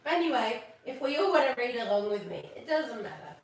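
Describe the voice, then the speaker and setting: A woman hosting a casual live storytime, chatting and reading aloud in a playful, expressive manner for children. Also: a little high, fairly quick. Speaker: live stream storytelling host. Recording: very echoey room, distant from mic.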